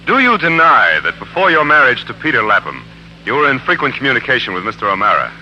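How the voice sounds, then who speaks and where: A pressing courtroom lawyer, formal and insinuating, with a hard bright voice and animated pitch. It is 1940s radio drama on a band-limited old broadcast. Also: male voice, fast, muffled recording, a little hiss or hum.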